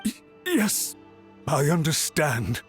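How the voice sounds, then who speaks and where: A man's voice: fairly high pitch, big pitch swings, slow, soft background music. An actor playing an anxious, servile official in a video game, deferential and pleading as he speaks to a superior. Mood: cowed, grateful. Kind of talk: scripted video game dialogue.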